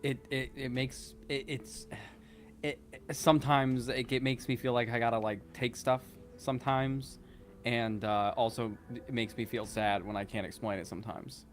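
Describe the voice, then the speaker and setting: A man playing a sheepish, defensive young recruit in improvised tabletop roleplay, halting and stammering awkwardly. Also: medium pitch, level tone, close to an everyday voice. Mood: nervous, sheepish.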